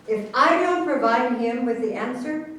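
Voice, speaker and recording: A woman's voice: on the high side, lively intonation, normal speed, fairly bright tone. A woman playing an imperious, self-important professor and government official in a staged satirical reading, speaking in a formal, lecturing manner. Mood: stern, demanding. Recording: echoey room, distant mic, faint noise.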